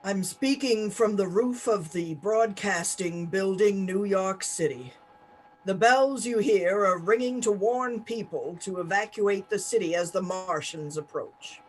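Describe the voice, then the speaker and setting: A man playing a grave, resolute radio announcer reporting a doomed city in halting, clipped phrases, in 1930s radio play style. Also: high-pitched, a little hiss or hum, low-quality recording. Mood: urgent. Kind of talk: 1930s radio play news bulletin acting.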